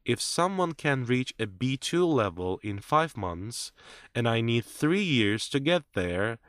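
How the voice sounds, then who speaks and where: A man reading a scripted listening-test script in a measured, clear way, as narrator and interviewee. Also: medium pitch, sing-song, a bit bright, no echo, studio quality.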